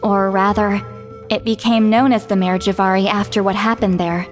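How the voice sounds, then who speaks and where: An actress playing a wise, wry elderly woman who guides spirits, speaking in an unhurried storytelling way for a video game. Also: medium pitch, flat delivery, soft background music, lightly acted. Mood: solemn storytelling.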